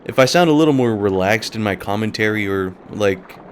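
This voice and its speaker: A man's voice: on the low side, expressive, fairly quick, a little hiss or hum. A man hosting a let's play, talking casually and rambling while sick and a little nasal. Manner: casual, rambling, laughs often. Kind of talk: gameplay commentary.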